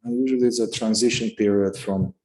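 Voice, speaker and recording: Calm guy w a lowish voice leading guided meditation, takes his time, short phrases and pauses. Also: rough recording. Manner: calm, unhurried, pausing between short phrases. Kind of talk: guided meditation, unscripted instruction.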